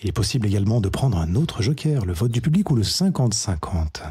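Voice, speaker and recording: A man hosting a live-streamed quiz in a relaxed, chatty way, often correcting himself. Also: fairly low pitch, fairly quick, slightly rough. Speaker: quiz show host.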